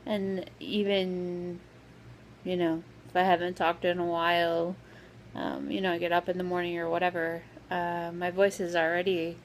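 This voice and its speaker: A woman vlogger talking casually with a sore, scratchy throat, pausing often with lots of "um"s. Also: lowish voice, flat delivery. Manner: hesitant, full of "um" fillers. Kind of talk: casual vlog update.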